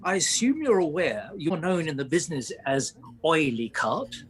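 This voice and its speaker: An English man acting a blustering, irascible Victorian gentleman playwright in a radio play. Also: fairly high pitch, sing-song, normal speed. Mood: playful, sardonic. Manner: blustering, theatrical.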